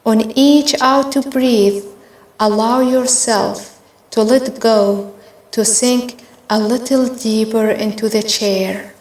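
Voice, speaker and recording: A woman with a slightly high voice leads a guided meditation. Her delivery is gentle and measured, moving very slowly through each instruction. The recording has a clearly roomy echo around the voice. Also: fairly even intonation.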